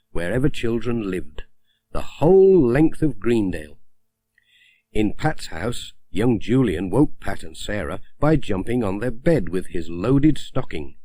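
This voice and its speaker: A man narrating a children's story as an audiobook reader, in a gentle, expressive storytelling manner. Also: medium pitch, no echo.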